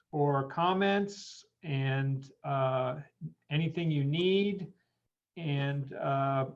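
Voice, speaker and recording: A man with a somewhat high voice and noticeable vocal fry moderates a group call. He is informal, and the sound is dull and lo-fi. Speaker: host moderating a conference call. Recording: dull, lo-fi conference call audio.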